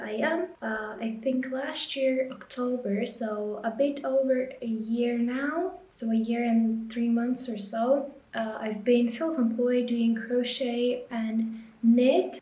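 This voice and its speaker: A young woman with a Lithuanian accent, casually chatting through a crafting vlog in a relaxed, rambling way. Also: on the high side, reverberant, low-quality recording. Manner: chatty, relaxed, with fillers like "uh" and "you know". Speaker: crochet and knitting creator. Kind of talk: casual vlog chat while crocheting.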